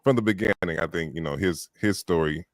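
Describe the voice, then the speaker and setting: A man's voice: sits low, fairly quick, noisy background. A man chatting loosely about narrating a story, rambling with lots of "you know" and "right". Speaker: audiobook narrator guest. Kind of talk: casual live chat.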